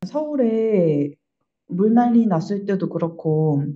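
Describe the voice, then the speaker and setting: A woman on an art production team speaking reflectively in an interview, thoughtfully pausing mid-phrase to find her words. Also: mid-range voice, lively intonation, lo-fi sound.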